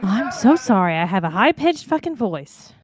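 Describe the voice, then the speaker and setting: A woman co-hosting a live stream, telling stories in a lively, chatty way. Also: mid-range voice, moderate pace, husky. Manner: animated, chatty storytelling. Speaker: stream co-host. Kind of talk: casual stream chat.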